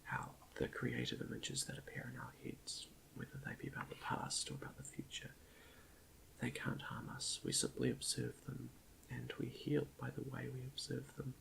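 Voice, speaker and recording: Softly whispering man with very creaky voice. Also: mid-range voice, big pitch swings, normal speed, low-quality recording. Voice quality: soft, breathy half-whisper with creaky fry. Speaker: guided meditation host. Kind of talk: ASMR guided meditation, quiet talking. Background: chalk on chalkboard clicking.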